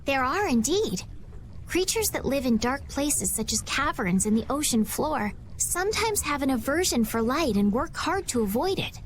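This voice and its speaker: A woman voicing a gentle, bookish young noblewoman in a video game, speaking earnestly and formally. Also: sits high, big pitch swings, normal speed, slight background noise. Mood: earnest, thoughtful.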